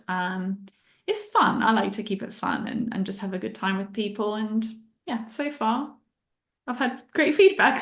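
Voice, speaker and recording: Woman in a roomy echo. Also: medium pitch. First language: Italian. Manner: enthusiastic, explanatory, uses analogies. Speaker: human design guide, guest. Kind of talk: interview answers, explaining.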